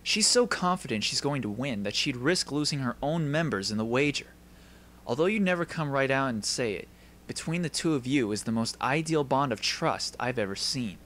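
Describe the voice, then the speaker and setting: A man's voice: medium pitch, expressive, normal speed, a bit bright, lightly acted. A man voicing a polite, composed teenage esper who explains things courteously in an anime dub style. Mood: calm, assured.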